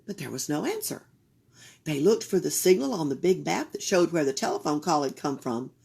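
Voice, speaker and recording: A woman reading a children's picture book aloud for a library storytime, expressive and sometimes dropping into a whisper. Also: low-pitched, a little fast, vocal fry, breathy edge. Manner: expressive, drops into whispers for suspense.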